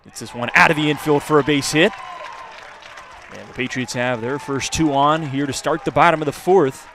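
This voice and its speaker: A man's voice: fairly high pitch, steady tone, moderate pace, fairly bright tone. A man calling a baseball game live as a radio play-by-play announcer. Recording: outdoor ballpark crowd and ambient noise. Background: ballpark crowd.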